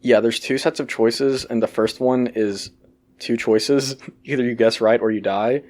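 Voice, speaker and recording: A man's voice: medium pitch, steady tone, a little slow, darkish tone. A young man with a Midwestern American accent co-hosting a podcast, trading dry, sarcastic quips. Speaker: podcast co-host. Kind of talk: casual chat.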